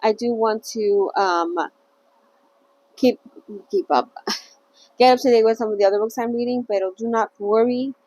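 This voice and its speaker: A woman's voice: fairly high pitch, steady tone, moderate pace, long pauses, mellow, lo-fi sound. A woman hosting a casual read-along of a novel, chatty and a little self-conscious, with lots of fillers and laughs. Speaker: channel host reading a novel aloud.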